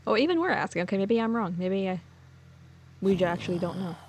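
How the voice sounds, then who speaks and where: A woman's voice: mid-range voice, expressive, quick pace, darkish tone, slight background noise. A young woman doing casual let's play commentary, sweary and wry with offhand reactions.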